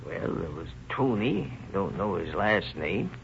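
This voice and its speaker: Nervous, evasive husband for vintage radio crime drama. Lowish, very animated, hesitant, flustered, feigning innocence. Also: male voice, unhurried, full character voice. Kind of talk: old-time radio crime drama acting. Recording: muffled, noisy old radio recording.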